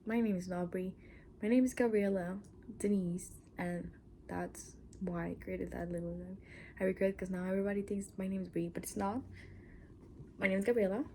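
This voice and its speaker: A woman with a Mexican accent talks in a loose, rambling vlog. Her voice is mellow with a gravelly edge, and she sometimes sinks into a whisper. Faint noise hums behind her. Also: medium pitch. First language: Spanish. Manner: chatty, rambling, restarts and asides. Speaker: channel host. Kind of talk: casual personal vlog.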